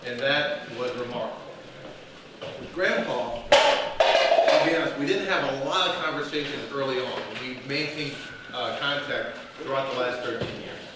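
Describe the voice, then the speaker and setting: A man's voice: medium pitch, moderate pace. A man giving an informal, joking memorial speech as a grandson at a family gathering. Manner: friendly, self-aware, joking asides. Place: restaurant dining room. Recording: echoey room, distant mic, loud noise.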